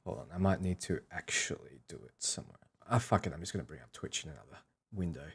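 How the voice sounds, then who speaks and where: A man's voice: low voice, lively intonation, quick pace, vocal fry, slightly rough. A man with an Australian accent hosting a livestream, chatting casually and thinking aloud in an informal way. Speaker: live streamer and host.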